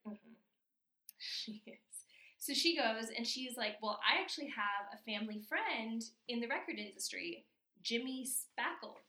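A woman's voice: a little high, expressive, measured pace, bright, forceful tone, roomy sound. A woman co-hosting a podcast, casually recapping a cartoon episode with frequent laughs. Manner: laughs often, chatty, drops to a near whisper at times. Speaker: podcast co-host.

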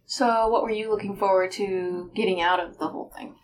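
A woman's voice: medium pitch, fairly even intonation, a little fast, slight background noise, rough recording. A woman chatting casually as a podcast guest, in an agreeable, giggly way. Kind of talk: casual chat.

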